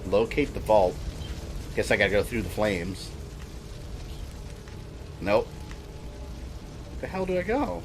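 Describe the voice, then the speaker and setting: A man's voice: on the low side, sing-song, slow, pauses often, noisy background. A man in his thirties chatting casually and rambling while he streams a video game. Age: 30s. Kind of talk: casual chat while gaming.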